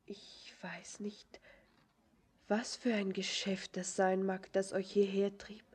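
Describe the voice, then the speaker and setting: An actress playing a gentle, anxious young village woman in a poetic radio play, speaking tenderly and hesitantly in a breathy, hushed voice. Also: medium pitch. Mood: shy, hushed.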